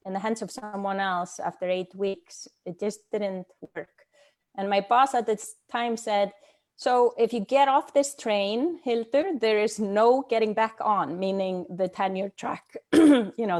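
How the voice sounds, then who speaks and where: A middle-aged woman from Iceland talks as a guest over a video call. Her pitch sits in an ordinary middle range for a woman. She speaks thoughtfully and hesitantly, pausing often with fillers as she gathers her words. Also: darkish tone. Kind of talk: casual personal talk.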